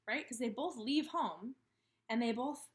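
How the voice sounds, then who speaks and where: A woman in her thirties teaching and reading aloud to kindergarteners, expressive, with questions and emphatic pauses. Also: a little high. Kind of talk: instructional read-aloud for young children. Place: indoors, room with a brick wall.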